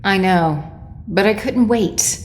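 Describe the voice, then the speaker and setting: A woman's voice: mid-range voice, slow pace, lightly acted. A woman playing an impatient, commanding evil sorceress from space in an audio drama. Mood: unrepentant. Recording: faint noise and ambience behind.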